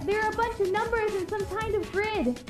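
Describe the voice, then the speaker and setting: A woman's voice: very high-pitched, brisk. A woman voicing a perky, unsure girlish young woman in a game fan dub. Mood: unsure, puzzled. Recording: roomy echo with music behind.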